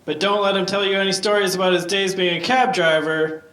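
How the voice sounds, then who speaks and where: A man's voice: sits high, fairly even intonation, fairly quick, fairly bright tone. A man playing an oblivious, earnest sitcom character who delivers a wedding toast as stand-up comedy. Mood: earnest, jokey. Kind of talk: sitcom acting, speech to an audience. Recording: echoey microphone in a room.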